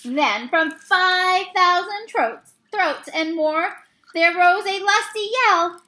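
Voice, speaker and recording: A woman with a high, bright voice reads verse aloud from a picture book. Her delivery is halting, with the odd misreading that she goes back to fix. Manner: halting, with misreadings and self-corrections. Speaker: read-aloud reader. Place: small indoor room.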